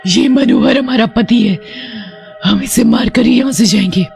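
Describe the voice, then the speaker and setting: A menacing witch from a horror storybook, acted out by a man, with a gravelly, creaking voice pitched high. The delivery is threatening and drawn out like a spell being chanted. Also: a little slow, a little mellow, faint music behind, exaggerated voice. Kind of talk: animated horror folk tale acting.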